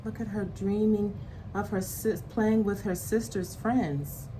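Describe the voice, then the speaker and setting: Female children's read-aloud host, slow and deliberate, expressive, simple asides, slightly noisy recording. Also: mid-range voice. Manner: slow, deliberate, explains pictures in simple words. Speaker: storyteller reading a picture book aloud to children.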